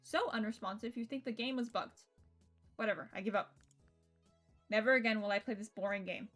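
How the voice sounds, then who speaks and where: A woman streamer reading visual novel narration aloud in a playful, expressive storytelling way, with casual asides. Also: a little high, brisk. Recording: music behind the voice.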